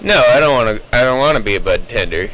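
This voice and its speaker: A man's voice: mid-range voice, lively intonation, fast. A man chatting casually over CB radio, explaining his opinions at length in a rambling way. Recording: CB radio chatter with faint noise.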